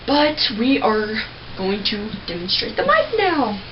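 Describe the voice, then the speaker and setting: A young woman giving a casual, rambling tutorial on screaming technique, clearing her throat often. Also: fairly high pitch, sing-song, slow. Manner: chatty, rambling, with many filler words and throat clearing. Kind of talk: casual home tutorial video. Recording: dull lo-fi home recording with faint noise.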